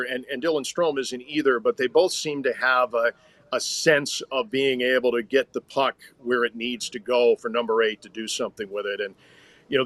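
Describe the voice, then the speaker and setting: A man who works as a sports announcer, speaking as a guest in conversational analysis, reasoning aloud in measured, hesitant phrases. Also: mid-range voice, pushed and bright, no echo.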